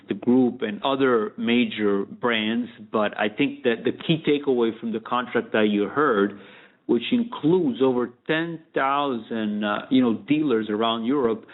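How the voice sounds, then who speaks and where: A male company executive answering conversationally on an earnings call, often checking in with little tag words. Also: mid-range voice. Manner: conversational, frequent "you know" and "right" tags. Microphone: conference call line. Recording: narrow, tinny phone line.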